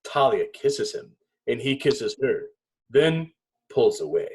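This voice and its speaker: A man reading stage directions as narrator at a table read, in dramatic, clipped phrases with pauses. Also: mid-range voice, big pitch swings, darkish tone, husky, rough recording.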